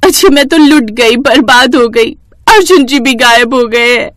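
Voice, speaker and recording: A woman's voice: a little high, normal speed, a bit bright, no room sound, clean studio sound, close to an everyday voice. A woman voicing a sweet, dramatic young village bride with a scheming streak in a storybook tale. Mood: wailing.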